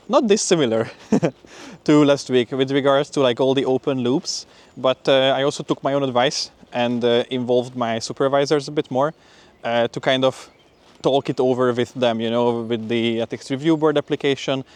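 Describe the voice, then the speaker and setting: A man's voice: medium pitch, moderate pace. Casual, conversational young man vlogging. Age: young adult. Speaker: PhD student vlogger. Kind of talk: casual spoken vlog update.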